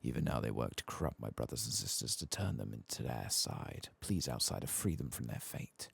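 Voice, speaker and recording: Weary game dragon, played by a man, pleading and grave, soft delivery, very low with heavy creak. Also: big pitch swings, fairly quick, heavily acted. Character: weary, solemn dragon pleading for its captured brood. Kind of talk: reading game quest text aloud.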